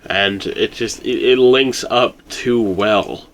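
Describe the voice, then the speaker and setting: A man's voice: on the low side, slow. A man chatting casually as a discussion partner, eager and agreeable. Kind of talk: casual chat.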